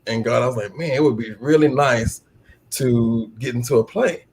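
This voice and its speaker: An American man answering interview questions casually, in an unhurried, reflective way. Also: medium pitch, a little mellow, a little hiss or hum, rough recording. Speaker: stage actor, interview guest.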